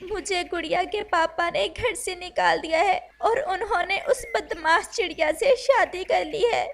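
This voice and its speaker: A woman's voice: very high voice, a little slow, creaky, a bit bright, heavily acted. A woman voicing a sorrowful mother bird in a cartoon, tearful and pleading. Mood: tearful, regretful. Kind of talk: Hindi cartoon voice acting.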